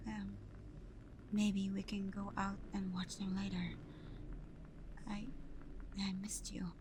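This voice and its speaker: A woman acts out a tender vampire girlfriend in an intimate ASMR roleplay. Her voice is a soft, breathy whisper that moves slowly and stays on a very even pitch. There is some background noise around her gentle, devoted words. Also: natural voice. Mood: shy, cosy.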